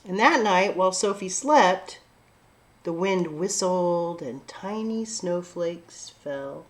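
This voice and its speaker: A woman reading a picture book aloud to children, narrating expressively with deliberate, dramatic phrasing. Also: on the low side, lively intonation. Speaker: children's story-time reader.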